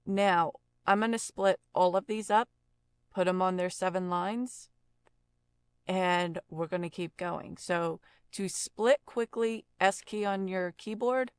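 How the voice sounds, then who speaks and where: Smooth-voiced woman giving a slow tutorial. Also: fairly low pitch, dry recording. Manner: instructive, explains each step plainly. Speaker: tutorial instructor and voice actor. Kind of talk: casual step-by-step software tutorial narration.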